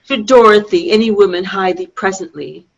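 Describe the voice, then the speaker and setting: A woman's voice: mid-range voice, vocal fry. Muffled call audio, woman playing a proud Shakespearean princess. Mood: flustered, angry. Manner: measured, formal verse with pointed emphasis. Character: proud young princess, sharp-tongued, loyal, coolly scornful. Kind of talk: amateur Shakespeare play reading. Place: remote online video-call reading. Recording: dull lo-fi video-call audio.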